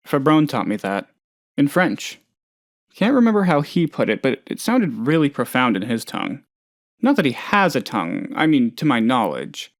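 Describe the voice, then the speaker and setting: A man voicing a friendly, insecure, gullible plant boss in a video game, chatty and hesitant in an earnest, folksy way. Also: mid-range voice. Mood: hopeful. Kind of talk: video game character voice lines.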